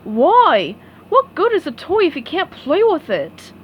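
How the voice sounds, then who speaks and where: Brief: woman playing a dopey starfish guy, slow and loud, lively pitch. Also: highs cut off, slight background noise, exaggerated voice. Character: dim, slow, sweet male starfish.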